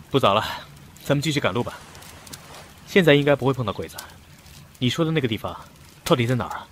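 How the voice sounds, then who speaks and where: An actor playing an educated, calm, kind-hearted official on the run in a wartime audio drama. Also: male voice, medium pitch, a little fast, pauses often, a little hiss or hum, natural voice. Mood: measured. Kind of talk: Chinese audio drama acting.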